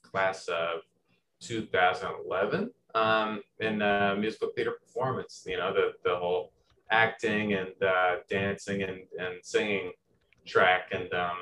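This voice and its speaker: A young man from Southern Indiana talks in a low voice, rambling through his answers with plenty of fillers and restarts. There's a faint hiss of noise behind him. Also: steady tone, a little slow, pushed and bright, low-quality recording. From Southern Indiana, USA. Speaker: musical theater writer. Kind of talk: casual interview answers.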